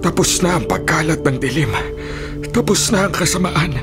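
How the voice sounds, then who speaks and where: A man's voice: a little high, lively intonation, normal speed, lightly acted. A man playing an emotional, vengeful old grandfather and doctor in a Filipino radio drama. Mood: subdued remorse. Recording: dramatic music under the voice.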